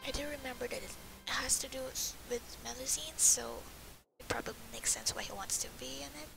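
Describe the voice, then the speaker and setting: A woman with a high voice and plenty of vocal fry chats through her gameplay. She rambles informally, with loud game music playing behind her. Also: brisk, rough recording. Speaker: video game player recording a playthrough. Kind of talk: casual rambling gameplay commentary.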